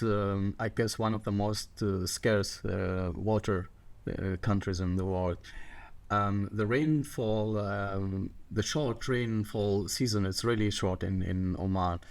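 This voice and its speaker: A middle-aged man from Egypt, a guest on a podcast, speaking with many fillers and restarts. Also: fairly low pitch. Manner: halting, many fillers and restarts, non-native grammar. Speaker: water management guest. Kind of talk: podcast interview.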